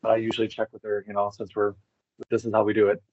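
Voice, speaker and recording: A man's voice: lowish voice, monotone, quick pace, a little mellow, no room sound. Man rambling through stories, dull dry recording. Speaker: interview guest. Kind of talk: casual rambling personal storytelling.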